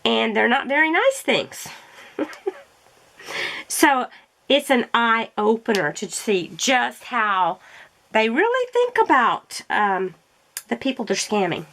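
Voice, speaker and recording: Woman vlogger, fry-edged voice, chatty and meandering while crafting, throat roughened by allergies. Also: fairly high pitch, very animated, slow pace, long pauses, a bit bright. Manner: rambling, chatty, self-correcting. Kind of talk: casual chat while crafting.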